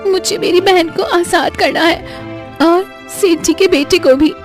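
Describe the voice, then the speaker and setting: A woman's voice: extremely high pitch, a little slow, music in the background. A woman voicing a brave, earnest young heroine in a cartoon moral story, with dramatic, wondering delivery. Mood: anxious, resolute.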